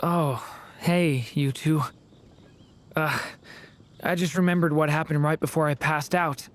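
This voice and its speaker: An actor voicing a gentle, earnest, hesitant young man in a video game. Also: on the high side, steady tone, unhurried, slight background noise, close to an everyday voice. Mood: subdued, earnest. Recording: background music under voice.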